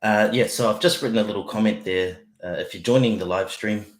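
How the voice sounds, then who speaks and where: A man talks guitar on an early-morning livestream, his low voice croaky and broken by throat-clearing. He wanders through fillers and restarts in a friendly, unhurried way. The small room around him adds a noticeable echo. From New Zealand. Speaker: guitar teacher. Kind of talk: casual livestream lesson. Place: small home office.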